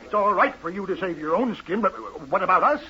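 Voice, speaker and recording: An actor playing an excitable, cowardly hotel owner in a 1950s radio western, agitated, pleading and nagging. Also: male voice, sits high, quick pace. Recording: dull 1950s radio, crowd behind.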